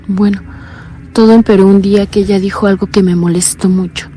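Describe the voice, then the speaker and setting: An actress playing a blind young woman calling in to tell a frightening story, talking in a low, earnest, uneasy way. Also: steady tone, a little fast, mellow, faint music behind, natural voice, low-quality recording. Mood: anxious. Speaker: caller. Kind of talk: radio drama acting.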